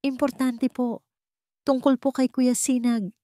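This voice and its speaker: A woman's voice: high-pitched, level tone, measured pace, warm tone, voice pushed up. Woman voicing a frightened, pleading young servant girl. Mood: anxious, hushed. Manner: polite, earnest, urgent. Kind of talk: dramatic storytelling.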